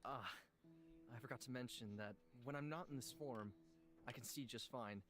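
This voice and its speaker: A man plays a gentle, ancient shapeshifter in human form for a visual novel. He is calm and measured, mid-pitched, with music clearly behind him. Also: close to an everyday voice. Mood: calm, wistful. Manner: quiet, measured. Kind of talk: visual novel character acting.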